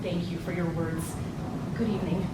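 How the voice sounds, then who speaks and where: Woman acting a suffragist politician, stage drama, poised, encouraging, very echoey, noisy rumbling background. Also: steady tone, moderate pace, close to an everyday voice. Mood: gracious. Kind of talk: live stage play acting. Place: large echoey room, live presentation. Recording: echoey noisy hall, distant mic, low rumble.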